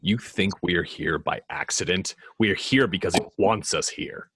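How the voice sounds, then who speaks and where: A man playing a grim, guarded, battered superhero in a film-script table read, low and gritty, with terse, intense delivery. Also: measured pace, fairly bright tone, breathy edge, full character voice. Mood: urgent, stern. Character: grim guarded male vigilante superhero, battered and guilt-ridden.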